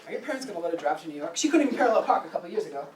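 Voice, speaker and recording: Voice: medium pitch, sing-song, racing, natural voice. An actor in a staged play reading playing a casual, slangy young best friend who is guarded about a secret. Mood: amused, nostalgic. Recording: roomy, distant mic, clear background noise.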